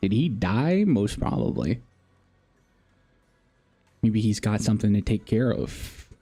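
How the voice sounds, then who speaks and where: A man's voice: lowish voice, lively intonation, slow pace, warm tone, slightly rough, faint music behind. A man live-streaming a game, commenting casually and reading game text aloud.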